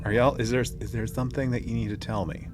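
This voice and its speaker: A man's voice: mid-range voice, fairly even intonation, quick pace, music in the background. A man hosting a podcast with casual banter in a joking, slangy manner. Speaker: podcast host.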